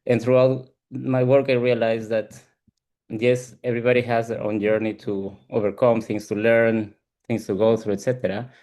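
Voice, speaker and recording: A man from Peru with a Peruvian Spanish accent, giving reflective, halting explanations as an interview guest and often checking in with "right?". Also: mid-range voice, flat delivery, a little mellow. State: frequent throat clearing. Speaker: ADHD coach and support group founder, interview guest.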